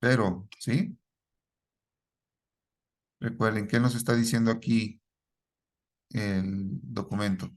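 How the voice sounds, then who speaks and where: A man's voice: lowish voice, fairly even intonation, slow, long pauses. Man teaching a programming class. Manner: asks the class questions and repeats their answers, ends lines with a checking "¿sí?". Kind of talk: live classroom lesson.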